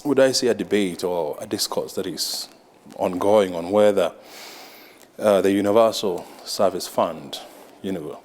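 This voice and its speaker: A man, hosting a television interview and asking measured, probing questions. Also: low voice, darkish tone. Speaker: TV interview host. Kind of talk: broadcast interview.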